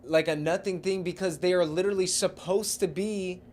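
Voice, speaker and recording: A man's voice: sits high, fairly even intonation, normal speed, a little mellow. A man co-hosting a podcast, debating casually and arguing his case point by point. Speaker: podcast co-host. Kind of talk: casual debate about movies.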